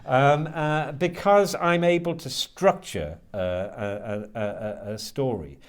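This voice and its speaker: A man answering interview questions as a writer and lecturer, thinking aloud haltingly and often repeating words as he works out his ideas. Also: mid-range voice. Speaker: guest, creative writing lecturer and writer.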